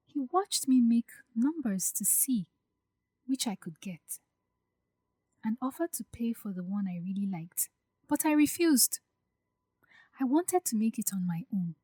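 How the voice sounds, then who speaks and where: A woman playing an anxious, hurt young bride-to-be in an audio drama, speaking softly and hesitantly as she confides her doubts. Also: a little high, slightly rough, no room sound. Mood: vulnerable, reflective. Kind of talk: acted audio drama dialogue.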